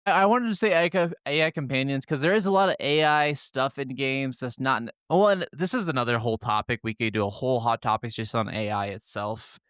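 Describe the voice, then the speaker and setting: A man's voice: mid-range voice, brisk, few pauses, fairly bright tone, clear, resonant tone, dry recording, studio quality. A man co-hosting a gaming podcast, giving his opinions in casual conversation. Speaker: podcast co-host.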